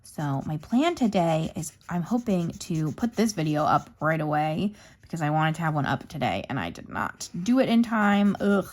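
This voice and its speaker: A young woman chats to her viewers while she colors, her voice sitting a little low and turning slightly hoarse. She rambles easily from one thought to the next in a casual, unscripted way. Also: sing-song, moderate pace, hardly any pauses, darkish tone. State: losing her voice. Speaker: craft YouTuber. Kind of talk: casual chatty craft vlog.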